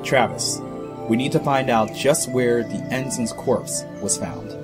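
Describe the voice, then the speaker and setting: An actor playing a composed, tactful away-team officer, speaking in a measured, diplomatic way in a sci-fi audio drama. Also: male voice, mid-range voice, loud music behind the voice, natural voice. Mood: decisive.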